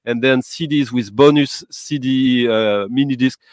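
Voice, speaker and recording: A man's voice: medium pitch, measured pace, dry recording. A man hosting a casual music chat, enthusiastic and searching for his words, with English that is not his first language. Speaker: host.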